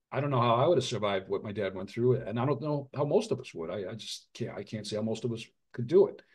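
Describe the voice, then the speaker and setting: A middle-aged man talking in an interview with a mid-range voice. He speaks earnestly and haltingly, often restarting his sentences. At the heaviest points his voice drops low and quiet. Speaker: author and physician. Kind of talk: reflective interview conversation.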